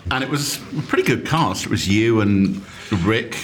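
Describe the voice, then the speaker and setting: Low-voiced male interviewer. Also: lively intonation, moderate pace, crowd noise. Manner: prompting, recapping the guest's career. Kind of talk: conversational interview. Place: restaurant table.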